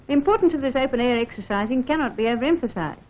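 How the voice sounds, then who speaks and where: A woman with a high voice narrates an air force training film. Her delivery is scripted, formal and instructive, speaking straight to the listener. The sound is dull and narrow, like an old film soundtrack. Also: lively intonation, racing. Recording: dull band-limited vintage film soundtrack.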